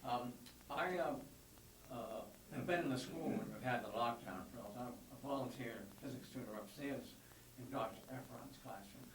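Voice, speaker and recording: An older man, a volunteer tutor, speaking in a measured way at a school board meeting and clearing his throat often. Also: mid-range voice, far from the mic. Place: meeting room. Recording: echoey room, voice from afar, faint hum.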